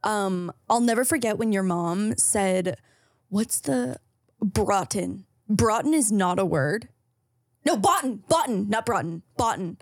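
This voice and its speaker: A woman's voice: mid-range voice, expressive, measured pace, no echo, clean studio sound. A woman co-hosting a podcast, chatting casually and playfully, often giggling and swearing. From Canada. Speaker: podcast co-host. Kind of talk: casual chat.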